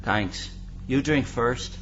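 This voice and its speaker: An actor playing a suspicious criminal accomplice in a radio mystery, terse and guarded. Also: male voice, mid-range voice, slow pace, a bit bright, slight background noise. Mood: wary, suspicious. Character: wary, suspicious criminal accomplice demanding payment. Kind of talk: radio mystery drama acting. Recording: dull audio with prominent music underneath.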